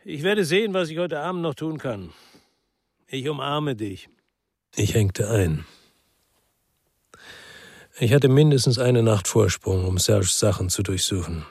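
A man playing a brooding former police detective who narrates a crime radio drama in a sober, terse, reflective way with a husky voice. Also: medium pitch, expressive, a little slow, long pauses, a little mellow, no echo, studio quality. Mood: urgent, concerned.

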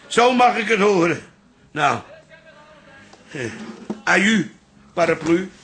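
A man's voice: high-pitched, lively intonation, takes it slowly, over music, heavily acted. A man playing a stubborn, wounded older father in a radio drama, curt, grumbling and sarcastic. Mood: sarcastic, defiant. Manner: curt, grumbling, dry teasing. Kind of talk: Dutch radio drama acting.

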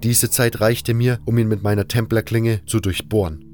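A man's voice: lowish voice, steady tone, moderate pace, heavy background noise. A man reading scripted first-person narration for a fantasy audio drama.

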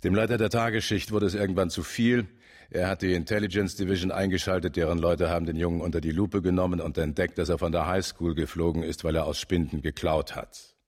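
Very deep-voiced, husky police chief. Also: male voice, fairly even intonation. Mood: sardonic, confiding. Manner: measured, authoritative, blunt. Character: shrewd older male police chief, calculating and commanding. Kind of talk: German radio drama acting.

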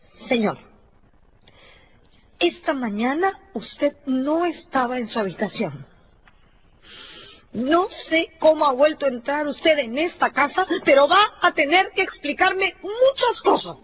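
High-voiced dramatic woman innkeeper. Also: animated, slow, dull audio. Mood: indignant. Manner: dramatic, demanding. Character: distraught, indignant female innkeeper. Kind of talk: radio drama acting.

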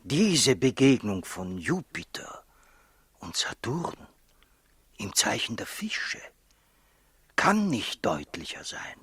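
An actor playing a solemn, learned traveller who reads the stars in a 1960s German radio play, declamatory and breathy. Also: male voice, fairly low pitch, huge pitch swings, slow pace, long pauses, a bit bright, no room sound, clean studio sound, heavily acted. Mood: insistent. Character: solemn, learned star-reading traveller, sure of himself.

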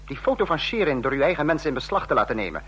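Sarcastic dictator, husky, slightly high voice, biting, domineering, radio drama. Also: male voice, big pitch swings, very fast, a bit bright, full character voice. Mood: scornful anger. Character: menacing male dictator, sarcastic, scolding his subordinates.

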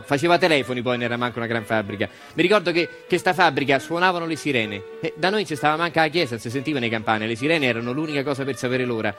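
Retired worker played by a man, Roman accent, fast colloquial monologue, theatrical storytelling, light music underneath. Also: medium pitch, fairly bright tone, close to an everyday voice. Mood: nostalgic. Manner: colloquial, repetitive refrains addressed to his mother. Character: retired Italian factory worker recounting his life to mother.

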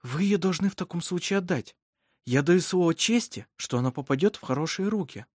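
A woman voicing a resolute, emphatic midwife in an audiobook, speaking with brisk authority. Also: fairly low pitch, animated, a little mellow, husky, dry recording, studio quality, pitched above the performer's own voice. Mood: persuasive.

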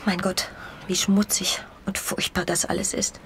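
A woman's voice: mid-range voice, level tone, a little slow, a bit bright, whispered, lightly acted. An actress in a German radio drama playing a concerned, pragmatic confidante of a lady. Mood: dismayed, soothing.

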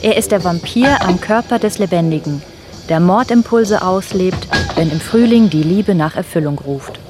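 Actress as solemn female reciter over loud music. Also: on the low side, moderate pace, lightly acted. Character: solemn female reciter of political psychology texts. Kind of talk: quotation reading in a German radio drama.

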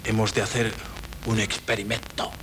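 An actor playing a nervous, flustered husband in a 1970s radio drama, hesitant and pleading. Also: male voice, lowish voice, level tone, normal speed, natural voice. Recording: old radio broadcast with faint hiss.

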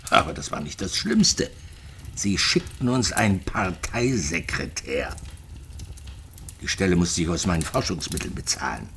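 Lonely retired physicist, sixties, East German, very deep, creaky fry, bitter, reflective, radio drama. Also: male voice, fairly bright tone, a little hiss or hum, exaggerated voice. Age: 60s. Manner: reflective, bitter, clipped phrases.

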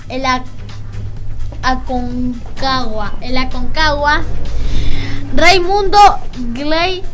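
A high-pitched woman with an Argentine Rioplatense accent co-hosts a casual radio show. She reads notices and lists informally, tripping over words here and there, with faint music underneath. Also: fairly bright tone. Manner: informal, stumbling over read-out names. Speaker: community radio co-host. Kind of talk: casual radio announcing, reading lists aloud.

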